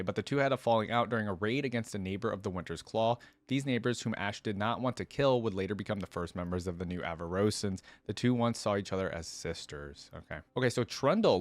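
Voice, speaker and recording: Male reaction host, lowish voice, dry close sound, informal read-and-comment delivery. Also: no room sound. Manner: informal, interjects own thoughts while reading. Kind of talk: casual reaction commentary, reading lore aloud with asides.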